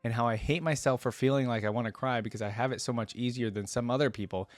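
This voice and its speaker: A man's voice: mid-range voice, fast talker, no room sound. A man reading a story aloud as a reaction host, with informal, slangy asides, recorded close on a microphone.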